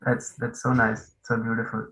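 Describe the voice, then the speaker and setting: A man of average pitch leads an online meditation session over a video call. He speaks haltingly, with frequent ums and uhs. His voice has a roomy echo around it. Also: completely flat delivery. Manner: hesitant, many fillers, warm welcoming tone. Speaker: meditation course host.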